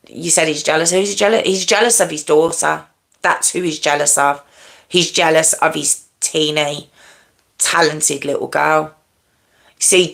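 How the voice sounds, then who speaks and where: A woman hosts a true crime livestream at an unhurried pace. Her voice sits low, and she leans hard on repeated words and filler sounds as she tells the story, which gives it an emphatic, conversational feel. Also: fairly bright tone.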